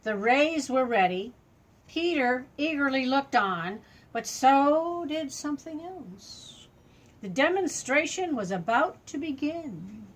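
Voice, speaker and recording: An older woman with a high voice reads a children's storybook aloud at a slow, unhurried pace. She tells it expressively, in simple words, pausing to explain things to a young listener like a grandmother at storytime. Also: animated, poor audio.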